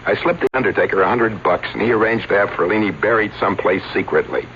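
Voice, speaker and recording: Actor playing a defensive old showbiz agent. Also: male voice, fairly low pitch, quick pace, lightly acted. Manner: earnest, defensive, showman's patter. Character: fast-talking showbiz agent, defensive and sheepish. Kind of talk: 1970s radio drama acting. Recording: dull old radio broadcast with hiss.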